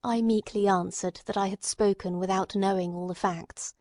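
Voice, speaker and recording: A woman reading scripted literary narration as an audiobook narrator, in a measured, carefully phrased storytelling manner. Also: mid-range voice, steady tone, darkish tone, no echo, studio quality.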